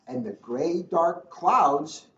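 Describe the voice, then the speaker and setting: A man's voice: a little high, expressive, drawn-out words, fairly bright tone. A man reading a children's book aloud as a police officer, chatting and joking at his own expense in casual asides. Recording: echoey room, dull lo-fi, faint noise.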